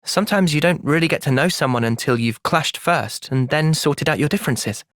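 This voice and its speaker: A man playing an earnest, thoughtful young soldier and party leader in a video game, sincere and resolute in a measured way. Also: on the high side, a bit bright, dry recording, studio quality, close to an everyday voice. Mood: calm, thoughtful. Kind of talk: video game cutscene acting.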